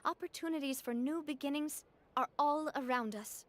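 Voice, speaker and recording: A woman's voice: sits high, moderate pace, close to an everyday voice. A woman voicing a confident young woman who leads a gang in a game, firm yet friendly.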